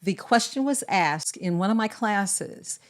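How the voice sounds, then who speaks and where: A woman co-hosting a podcast, speaking in a measured, deliberate way as she gives advice in casual conversation. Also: mid-range voice, animated. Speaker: podcast co-host.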